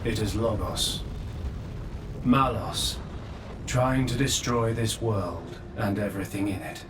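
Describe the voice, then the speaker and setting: An actor playing a weary, ancient creator in a video game cutscene, calm, solemn and remorseful in a measured, philosophical manner. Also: male voice, on the low side, distant mic, background noise. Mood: grave. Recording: echoing cavernous hall, music behind.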